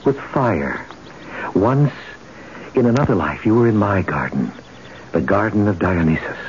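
An actor playing an ancient god, romantic, brooding and commanding, speaking in a solemn, intimate, declamatory way in an old-time radio drama. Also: male voice, lowish voice, expressive, measured pace. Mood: brooding, probing. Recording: muffled, noisy old radio broadcast.

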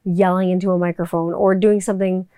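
Casting note: woman voiceover artist in a loose, rambling home monologue; smooth clear voice, dry close sound. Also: on the low side, little pitch movement, fairly quick, mellow, no echo. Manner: chatty, rambling, swears casually. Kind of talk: casual monologue to viewers. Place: home room with people upstairs.

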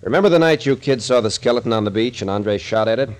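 An actor playing a tough, shrewd detective captain in a 1940s radio thriller, dry and commanding. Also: male voice, mid-range voice, expressive, fast talker, close to an everyday voice. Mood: matter-of-fact. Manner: matter-of-fact, dry, commanding.

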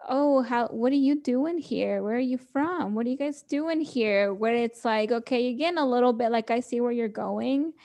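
A woman's voice: on the high side, moderate pace, hardly any pauses, mellow. A young woman co-hosting a podcast, chatting casually and laughing often as she quotes people. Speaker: podcast co-host. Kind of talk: casual chat.

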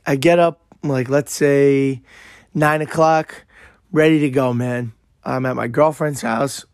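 A young New man talks straight into the mic with a gravelly, hoarse voice that sounds worn out. He tells his story brashly and swears freely, keeping the telling animated. The sound is close and dry. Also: mid-range voice, no echo. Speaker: podcast host. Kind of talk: casual profane storytelling monologue.

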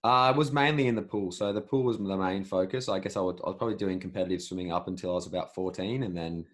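A young Australian man with a notably low, deep voice talks through his past in an easy, rambling way. He often restarts a sentence partway through, keeping a casual interview tone. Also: quick pace, a bit bright. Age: 20s. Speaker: podcast host, interviewee.

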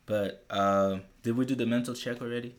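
A man's voice: medium pitch, fairly even intonation, brisk. A young man hosting a casual podcast, asking questions and nudging his guest along. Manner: easygoing, prompts with questions and "mm-hmm". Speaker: podcast host. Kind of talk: casual interview chat.

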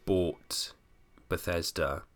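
A man in his thirties hosting a podcast alone, slightly hoarse, rambling with fillers and restarts. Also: low voice, steady tone, very slow. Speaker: podcast host. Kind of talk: casual solo podcast talk.

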